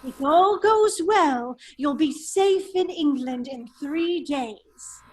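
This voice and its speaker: A woman's voice: high-pitched, lively intonation, takes it slowly. A woman reading the part of a daring English baronet with a secret double life in a radio drama. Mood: urgent, secretive. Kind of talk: table read of a 1930s-style radio drama.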